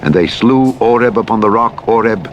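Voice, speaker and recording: A man narrating the Bible in a solemn, measured, formal reading. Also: dull audio. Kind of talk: solemn scripted reading of scripture. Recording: heavy vehicle engine noise. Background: rumbling truck driving sounds.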